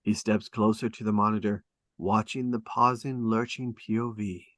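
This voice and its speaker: A man's voice: lowish voice, little pitch movement, normal speed, fairly bright tone, no echo, clean studio sound. A man reading stage directions as dramatic scripted narration at a table read.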